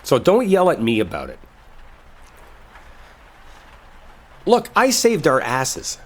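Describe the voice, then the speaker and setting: A man's voice: a little high, huge pitch swings, moderate pace, a bit bright, heavily acted. A man acting a brash, exasperated young coworker in an intimate roleplay audio, speaking casually with sarcasm and swearing. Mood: exasperated, arguing.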